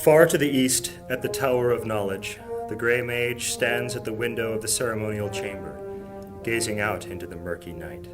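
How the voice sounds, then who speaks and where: A man's voice: fairly low pitch, moderate pace, natural voice. A man acting as the solemn storyteller of an epic fantasy audio drama, reading scripted dramatic narration. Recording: music bed under the voice. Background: music.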